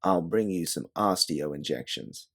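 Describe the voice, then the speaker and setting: A man reads as an evasive woman in an audio story. She is persistent and placating, with very mobile pitch. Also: animated, unhurried, gravelly, a bit bright, heavily acted. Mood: placating, insistent. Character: insistent, evasive woman on a phone call.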